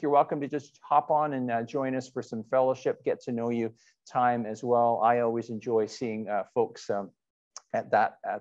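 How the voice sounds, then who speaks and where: A middle-aged man, a pastor speaking conversationally and a little haltingly as he leads online church worship. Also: mid-range voice, muffled recording. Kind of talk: informal church announcements and worship leading.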